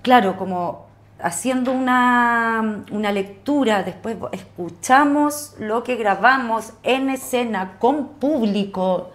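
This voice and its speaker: A woman's voice: a little high, slow pace. A woman with a Chilean accent, an actress talking casually as a podcast guest.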